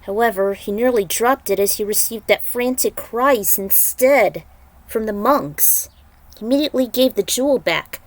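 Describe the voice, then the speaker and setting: A woman's voice: on the high side, moderate pace, no echo. A woman reading a piece of fantasy fiction aloud as a dramatic narrator.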